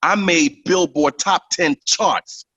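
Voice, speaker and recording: Husky, defensive young male singer. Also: fairly high pitch, takes it slowly, pushed and bright, highs cut off, full character voice. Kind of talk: radio drama acting.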